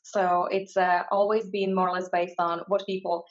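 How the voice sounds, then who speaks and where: A woman's voice: fairly low pitch, level tone, moderate pace, highs cut off. A woman from Slovakia with a Slovak accent, a coach answering interview questions thoughtfully with plenty of fillers and restarts. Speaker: guest, mindfulness and career coach.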